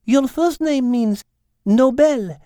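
Slow, poised French lady cat. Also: high voice, warm tone, no echo, studio quality, pitched above the performer's own voice. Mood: gently explaining. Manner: measured, formal, slow and deliberate.

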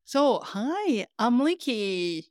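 A woman's voice: a little high, sing-song, extremely slow, music in the background. A woman co-hosting a podcast in casual conversation, explaining things hesitantly and often repeating words as she goes. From lives in Paris. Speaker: podcast co-host.

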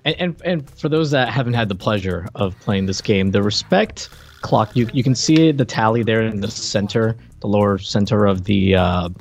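A middle-aged man hosting a podcast, chatting informally and jokingly about retro games in a halting way. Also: fairly low pitch, a little mellow. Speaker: podcast host. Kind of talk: casual retro game review chat.

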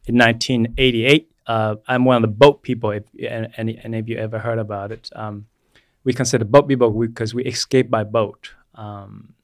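A man in his forties from Vietnam, whose first language is Vietnamese, telling his life story as a guest chiropractor in an unhurried way with many fillers. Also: on the low side, flat delivery, no echo. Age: 40s. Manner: unhurried, with frequent fillers and restarts. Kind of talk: personal storytelling in an interview.

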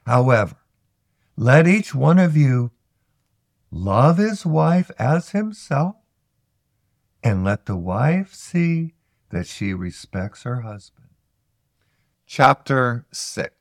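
A man reciting scripture from a text in a measured, emphatic way, phrase by phrase. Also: medium pitch, very animated, dry recording, studio quality. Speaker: scripture reader. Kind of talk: scripted Bible reading, recited aloud.

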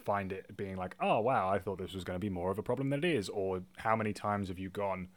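A man's voice: sits low, fast talker, no room sound. A man hosting a casual podcast interview, thinking aloud and rephrasing as he goes.

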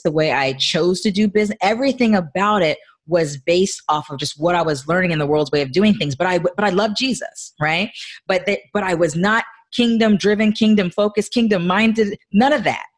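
A woman's voice: lowish voice, a little fast. A woman speaking as a podcast guest in a conversational teaching style, emphatic and repeating phrases for stress, often checking in with short tag questions. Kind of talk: conversational teaching on faith and business.

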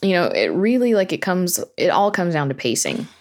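A woman with a notably low voice talks as a guest in a relaxed interview. She explains her opinions in an informal, chatty style, thinking things through as she goes. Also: brisk. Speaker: interview guest, horror fiction podcast creator. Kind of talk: casual conversational interview.

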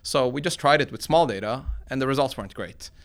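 Smooth-voiced man explaining things informally. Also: medium pitch, a little fast. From born in Iran, grew up in Sweden. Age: 40s. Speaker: tech company founder and computer science researcher being interviewed. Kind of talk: conversational interview answers.

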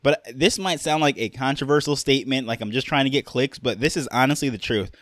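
A man's voice: medium pitch, fairly quick, a bit bright, dry recording. Chatty New York-accented man, dry sound. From Jamaica, raised in New York. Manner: conversational, rambling with fillers and restarts. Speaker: podcast host. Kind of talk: casual solo podcast monologue.

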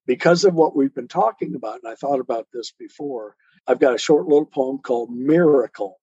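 A man with a husky voice speaking as an author guest in a reflective, explanatory interview style. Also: mid-range voice, brisk, mellow, no echo. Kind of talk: reflective podcast interview talk. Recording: dull, muffled lo-fi audio.

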